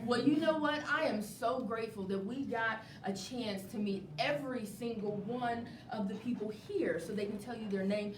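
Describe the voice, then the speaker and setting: A woman hosting a casual live interview, encouraging and quick to affirm what people say, promoting as she goes. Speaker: live show host interviewing a play's cast. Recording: echoey noisy room, distant mic, low rumble.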